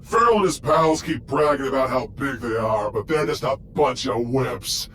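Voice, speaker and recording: A man's voice: mid-range voice, moderate pace, a bit bright, a little hiss or hum, natural voice. A man playing a brash, dismissive henchman guard in a video game. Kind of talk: video game cutscene acting. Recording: game music behind the voice.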